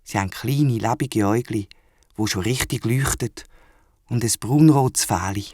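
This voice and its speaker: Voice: mid-range voice, moderate pace, mellow, dry recording, studio quality. A storyteller with a Swiss German accent, narrating a scripted children's audio story.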